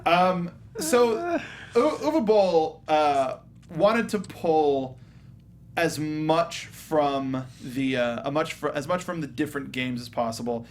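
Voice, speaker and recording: A man with a slightly high voice co-hosts a casual film discussion. His delivery is halting and stop-start. A faint rumbling noise sits behind him. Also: animated. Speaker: co-host of a film review show.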